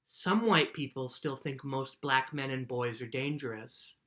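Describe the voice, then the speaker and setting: A woman playing a calm, patient mother in a children's book reading, explaining in a measured way. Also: a bass voice. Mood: serious.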